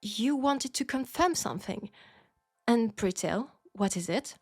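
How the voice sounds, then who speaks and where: Woman playing a young Parisian seamstress, French accent, wry and proud, slow deliberate delivery, close dry sound, audio roleplay. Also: a little high, animated, darkish tone, slightly rough, dry recording, soft background music, full character voice. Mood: indignant. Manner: measured, pointed, sardonic, with sighs. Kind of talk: scripted audio roleplay acting.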